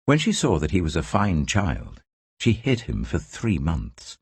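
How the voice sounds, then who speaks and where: A man reading scripture as a dramatic scripted narration, telling the story in a measured, expressive way. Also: deep voice, breathy edge, no room sound. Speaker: Bible narrator.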